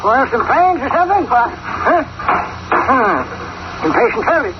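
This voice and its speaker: A man's voice: very high voice, big pitch swings, a little slow, full character voice. An actor playing a prying, folksy motor court clerk who needles his customer, in 1940s radio style. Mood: nosy, needling. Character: nosy, needling motor court clerk, male, high-pitched rube. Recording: dull, noisy vintage radio recording.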